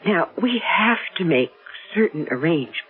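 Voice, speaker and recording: A woman's voice: lowish voice, big pitch swings, normal speed, husky, narrow, tinny audio, exaggerated voice. A woman acting in an old radio drama as an anxious, practical older American society mother, hesitant and pleading. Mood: careful, uneasy. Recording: band-limited old radio recording with music.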